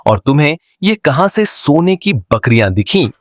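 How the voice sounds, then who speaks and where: Man acting an animated, put-upon village butcher. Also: fairly high pitch, normal speed, noisy background. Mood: exasperated. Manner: expressive, complaining, emphatic. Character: village mutton sellers, excitable, put-upon adult men. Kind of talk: Hindi cartoon voice acting.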